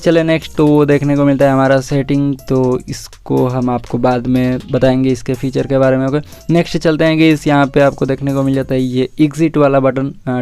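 A man's voice: medium pitch, darkish tone, clean tone. An Indian man giving a casual phone tutorial as a tech video host, mixing in English words and addressing viewers as guys.

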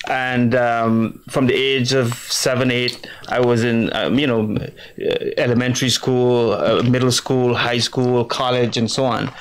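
A man's voice: mid-range voice, flat delivery, unhurried, smooth, rough recording. A middle-aged man who grew up in New York City, answering interview questions in a rambling way with frequent fillers and restarts. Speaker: guest. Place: TV studio with virtual set.

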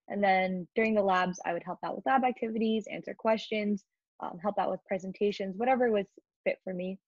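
A teenage young woman presents slides as a student in an informal way. Her voice sits at an average pitch for a woman. The sound is very dry and slightly dull, as from a basic computer microphone. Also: no echo. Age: teens.

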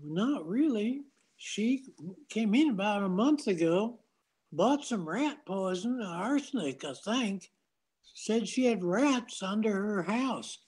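An older woman playing a kindly, protective shopkeeper with a husky voice in an amateur radio drama. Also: mid-range voice, expressive, takes it slowly, a little mellow, rough recording. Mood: surprised, curious.

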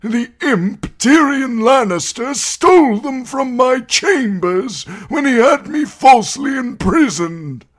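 Pycelle, a quavery old maester. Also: male voice, extremely high pitch, expressive, slow pace, few pauses, pitched above the performer's own voice, full character voice. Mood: quavering accusation. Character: frail quavering old male maester, accusing.

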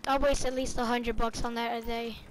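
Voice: medium pitch, level tone, a little fast, slight background noise. A young woman chatting casually in an improvised online game roleplay.

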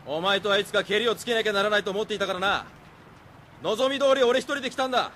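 A man playing a cool, defiant teenage street gang boss in a radio drama, curt and rough-spoken. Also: high voice, quick pace, bright, forceful tone, slight background noise.

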